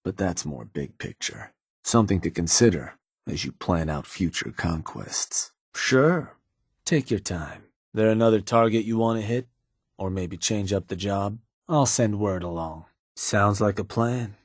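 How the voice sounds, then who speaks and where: Raider gang fixer for a video game: low, raspy, shrewd, casually deferential to the boss, recorded very dry. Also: male voice, unhurried, no room sound. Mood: businesslike, casual. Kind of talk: video game character dialogue.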